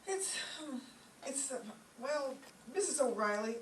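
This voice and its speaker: A woman's voice: high voice, expressive, a little slow. A woman playing a sensible, exasperated young woman, the level-headed roommate in an old-time radio comedy. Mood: hesitant, apologetic. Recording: echoey room, distant mic, faint noise.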